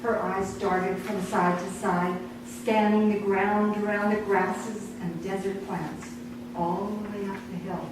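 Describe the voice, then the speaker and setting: A woman reads fiction aloud in a very reverberant room, picked up some way from the microphone. Her delivery is slow, deliberate and dramatic, shaped as expressive storytelling at a live event. Clear background noise sits behind her. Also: mid-range voice. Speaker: author reading own story. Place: indoor awards night event. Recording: echoey room, distant mic, noisy background.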